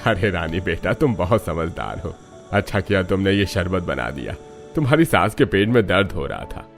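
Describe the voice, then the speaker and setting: A man playing a plain-spoken, caring older father-in-law in a cartoon story, fatherly and measured. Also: deep voice, big pitch swings, faint music behind.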